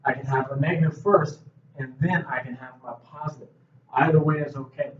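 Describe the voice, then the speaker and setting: A man teaching English grammar at a whiteboard, explaining slowly and patiently with frequent checks of "okay". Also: mid-range voice, fairly even intonation. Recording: roomy, distant, dull classroom audio.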